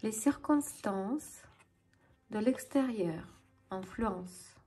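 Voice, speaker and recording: A woman with a Ukrainian accent giving a tarot reading to viewers as host, in deliberate, halting phrases. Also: mid-range voice, animated, warm tone. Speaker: tarot reader and channel host.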